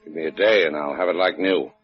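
A man's voice: low-pitched, quick pace, soft background music. A man playing a battered, stubborn adventurer in a 1950s radio drama, talking in terse, laconic wisecracks. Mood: dry, tough. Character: battered, stubborn, hard-boiled male boat skipper adventurer. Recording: dull old radio recording.

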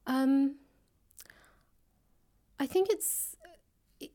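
A woman giving thoughtful, conversational interview answers, pausing often and a little hesitantly between phrases. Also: high-pitched, mellow. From grew up in the UK and India. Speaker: guest, author and actor. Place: indoors, upper floor of a public library.

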